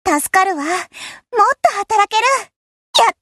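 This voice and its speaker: A woman voicing a proud, confident young warship girl in an anime game, with a brisk, haughty manner. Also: very high-pitched, a bit bright, no echo, clean studio sound. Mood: confident, eager. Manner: brisk, self-assured, haughty. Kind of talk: anime game character voice lines.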